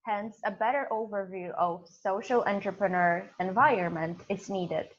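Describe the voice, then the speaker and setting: A woman slowly reading out research findings in a scripted student presentation, with some hesitations. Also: mid-range voice, a little hiss or hum. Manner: slow, reads findings with hesitations. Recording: slightly muffled recording.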